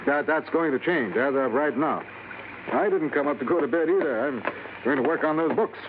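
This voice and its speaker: A man's voice: a little high, moderate pace, background noise, close to an everyday voice. An actor playing an anxious, courteous male business manager in an old radio drama, with a hesitant, earnest and polite way of talking. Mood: troubled. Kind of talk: 1950s radio drama acting. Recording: tinny old radio broadcast with music.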